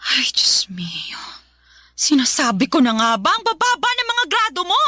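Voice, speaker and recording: A harsh mother in a radio drama, her high voice swinging widely as she scolds. She is sarcastic and belittling, with a bright, cutting edge. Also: wildly swooping pitch, moderate pace, no room sound, full character voice. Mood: angry. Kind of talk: Filipino radio drama acting.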